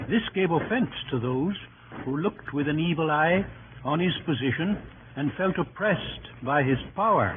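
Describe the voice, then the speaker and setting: Animated, higher-pitched male radio narrator. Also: noisy background. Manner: formal, dramatic phrasing. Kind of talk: scripted 1930s radio drama narration. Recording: tinny old radio broadcast, music behind.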